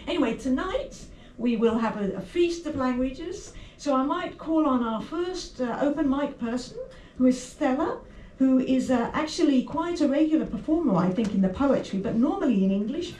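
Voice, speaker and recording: A woman's voice: high voice, animated, moderate pace, dark tone. A woman hosting a poetry evening in a chatty, conversational way. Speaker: host. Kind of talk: event hosting. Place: indoor venue at a poetry evening. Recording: echoey room, distant mic, faint noise.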